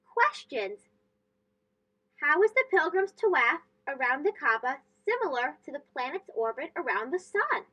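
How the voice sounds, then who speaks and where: A woman reading a children's storybook aloud as host, expressive and phrased for young listeners. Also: sits high, moderate pace, poor audio.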